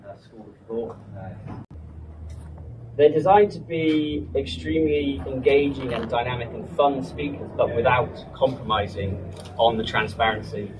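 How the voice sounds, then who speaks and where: Male product presenter, medium pitch, measured and hesitant; picked up far from the mic over clear room noise. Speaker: company presenter. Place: indoor trade show demo room. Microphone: camera some distance away. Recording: distant mic, noisy event hall. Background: clear room ambience noise.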